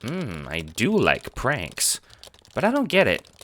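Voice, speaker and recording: A man playing an excitable, naive young prankster kid in an audio drama, casual, sweary and often incredulous. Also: mid-range voice, takes it slowly, exaggerated voice. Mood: puzzled.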